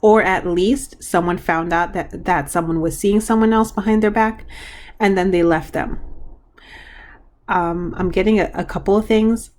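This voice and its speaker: A hoarse woman giving a pick-a-card reading to her viewers, talking frankly with frequent restarts. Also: mid-range voice, fairly even intonation, moderate pace, a little mellow, slight background noise. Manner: frank, chatty, trailing restarts. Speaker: tarot card reader.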